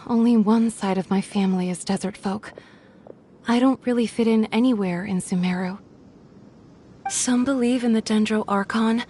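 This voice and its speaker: A woman voicing a withdrawn young village woman in a video game, soft-spoken, hesitant and guarded. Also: medium pitch, slight background noise, lightly acted. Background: game background music.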